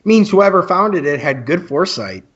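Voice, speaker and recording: Teen boy co-host, school radio play male actor: high-pitched, eager, earnest announcer delivery. Dull lo-fi recording. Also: big pitch swings, normal speed, fairly bright tone, husky, exaggerated voice. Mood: upbeat. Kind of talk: amateur radio play, mock school broadcast.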